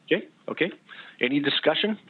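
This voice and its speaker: A man chairing a formal meeting in a halting, procedural way over a thin conference line. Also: fairly high pitch, lively intonation, breathy edge, narrow, tinny audio.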